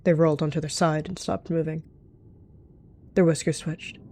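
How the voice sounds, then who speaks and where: A woman's voice: low-pitched, flat delivery, moderate pace, a little mellow. A woman narrating an improvised roleplay story in the third person, as one of the players. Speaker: roleplayer narrating and voicing cat characters.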